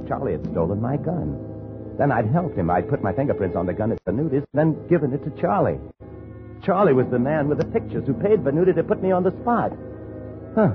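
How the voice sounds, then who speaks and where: A man's voice: mid-range voice, sing-song, a little fast. An actor playing a bitter, driven framed man in a 1950s radio thriller, speaking in a terse, brooding, intense way. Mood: stunned, grim realization. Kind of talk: 1950s radio thriller acting and first-person narration. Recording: muffled vintage radio, music behind.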